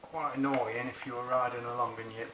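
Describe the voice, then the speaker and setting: A roomy, noisy recording of a man talking some way from the mic. He explains a practical job in a chatty, colloquial way, like an informal how-to video. His pitch is average for a man. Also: little pitch movement, fairly quick. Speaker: motorbike rider presenting a how-to video. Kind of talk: casual hands-on tutorial. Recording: roomy, distant mic, clear background noise.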